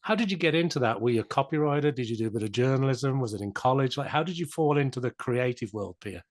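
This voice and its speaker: A man's voice: mid-range voice, expressive, fast talker, no echo, clean studio sound. Casual male podcast host. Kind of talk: interview questions.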